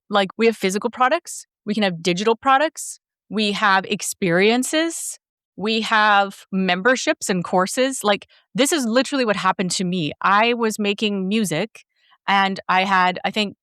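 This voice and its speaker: A woman's voice: medium pitch, fairly bright tone, no room sound. Dry, talkative woman coach. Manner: talkative, encouraging, explains with examples. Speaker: business coach and host. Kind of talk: coaching conversation.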